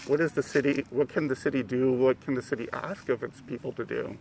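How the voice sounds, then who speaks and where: An American man with a creaky, gravelly voice puts questions in a casual discussion. His delivery is halting, over faint background noise. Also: mid-range voice, steady tone, darkish tone.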